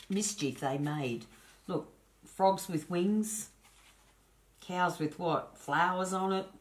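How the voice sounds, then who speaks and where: A woman with a low-pitched voice reads a children's bedtime tale. She is the host and storyteller, expressive in her telling and chatty toward little listeners.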